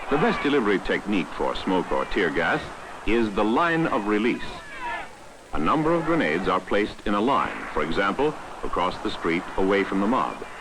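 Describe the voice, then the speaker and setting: Deep-voiced 1960s training film narrator, man. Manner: formal, authoritative, instructional. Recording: noisy vintage 1960s film soundtrack.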